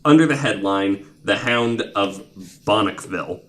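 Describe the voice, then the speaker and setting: Low-voiced young man hosting casually. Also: lively intonation, unhurried. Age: 20s. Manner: informal, sweary, peppered with "like". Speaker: podcast host. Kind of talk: casual conversational storytelling.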